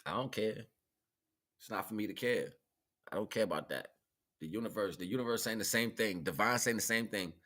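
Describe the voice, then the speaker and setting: Bright-voiced American man, informal spiritual monologue. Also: on the low side, fairly quick. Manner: animated, colloquial, preaching with repeated phrases. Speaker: livestream host.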